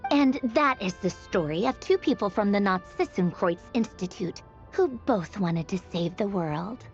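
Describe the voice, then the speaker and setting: Game role: aloof female storyteller, wry and musing, very expressive pitch, slightly muffled audio over music. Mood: wistful, musing. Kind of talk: scripted dramatic video game narration. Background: background music.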